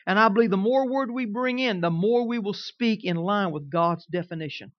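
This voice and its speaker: A man's voice: high-pitched, normal speed, dry recording. A man in his fifties with a Southern twang, preaching a sermon in a conversational way and often checking that his listeners are following. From Kansas. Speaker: pastor. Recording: dull, dry recording.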